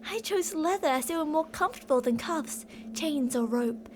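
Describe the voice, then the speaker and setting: A woman's voice: high-pitched, expressive, normal speed, background noise. A woman playing an obsessive, possessive yandere captor in an ASMR roleplay, intimate and doting with endearments yet menacing. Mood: soothing, menacing. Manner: intimate, cooing endearments, drops to whispers. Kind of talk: ASMR roleplay, scripted monologue.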